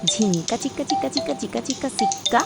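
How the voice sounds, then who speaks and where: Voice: medium pitch, expressive, moderate pace, creaky, loud music behind the voice. A performer voicing a playful child in a sing-song cartoon chant. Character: playful child chanting nonsense game rhyme.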